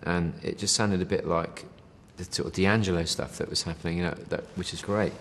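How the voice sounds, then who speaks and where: A very low-voiced man talks fast and loose, piling up fillers and asides as he chats in an interview. He sounds a little distant in a space with a long reverb tail, over a faint background hiss. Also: steady tone, a bit bright. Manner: rambling, many fillers, says "you know" and "mate". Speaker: band member interviewed. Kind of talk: casual interview chat.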